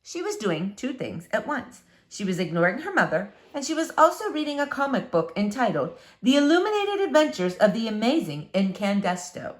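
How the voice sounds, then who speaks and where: A woman reading a children's book aloud as a storyteller, with expressive, dramatic emphasis. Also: a little high, normal speed, hardly any pauses.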